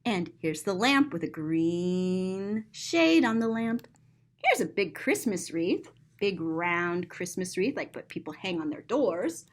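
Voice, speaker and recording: A woman reading a picture book aloud to young children in an expressive, playful way, pausing to explain things simply. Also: fairly low pitch. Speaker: storyteller and teacher.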